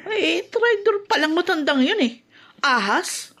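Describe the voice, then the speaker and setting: A woman's voice: extremely high pitch, big pitch swings, measured pace, a bit bright, heavily acted. A woman playing an emotive young adult elder sister in a Filipino radio drama, with a Filipino accent. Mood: indignant. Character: concerned, indignant grown daughter and elder sister.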